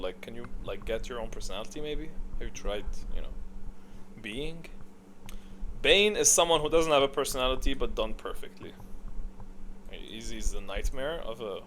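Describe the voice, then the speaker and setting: A man's voice: on the low side, background noise. A man giving casual, off-the-cuff commentary on a game tier list, bluntly opinionated, thinking aloud and repeating himself for emphasis.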